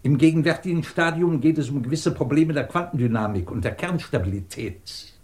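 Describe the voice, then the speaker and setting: A man's voice: fairly high pitch, brisk, darkish tone, close to an everyday voice. A man acting a middle-aged, imperious and sardonic British professor who runs a research department, in a commanding 1950s German radio drama style. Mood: brisk, superior. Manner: commanding, clipped, probing, sardonic.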